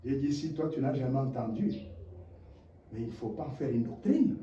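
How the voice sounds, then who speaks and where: A man preaching a sermon, impassioned, dropping to low murmurs and rising to shouted rhetorical questions. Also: animated, moderate pace, slight background noise. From DR Congo. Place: church hall. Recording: echoey, distant, dull lo-fi church recording.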